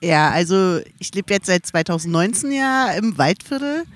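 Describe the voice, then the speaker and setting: A woman's voice: lowish voice, expressive, normal speed. A woman in her forties from Berlin with a Berlin German accent, chatting as a podcast guest outdoors by a river and laughing often between hesitant fillers. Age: 40s. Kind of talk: casual outdoor chat. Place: outdoors in shade by a river at a music festival.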